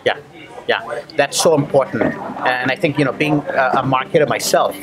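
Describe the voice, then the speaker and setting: A man's voice: mid-range voice, moderate pace, crowd noise, poor audio. A man interviewing a guest in a casual chat, talking in a chatty, effusive and jokey way. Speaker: interviewer and marketer. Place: indoors on a couch. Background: background music.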